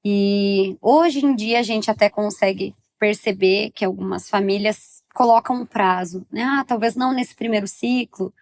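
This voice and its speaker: Calm woman psychologist explaining. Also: mid-range voice, measured pace, few pauses. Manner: calm, explanatory, affirming with frequent "isso". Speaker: perinatal psychologist guest. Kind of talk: interview answers.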